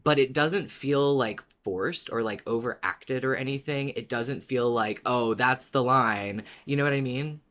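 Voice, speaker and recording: A podcast co-host with a medium-pitched voice. The delivery is informal and expressive, that of a fan discussing a favourite story. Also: measured pace, few pauses. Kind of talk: casual fan discussion, partly reading a written recap.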